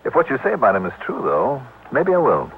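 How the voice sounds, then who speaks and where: An American actor playing a wry, shrewd, breezy insurance investigator in a 1950s radio drama. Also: male voice, medium pitch, wildly swooping pitch, a little fast, husky, phone-quality sound, exaggerated voice. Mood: shrewd, probing. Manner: breezy, hard-boiled, terse. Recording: old band-limited radio broadcast recording.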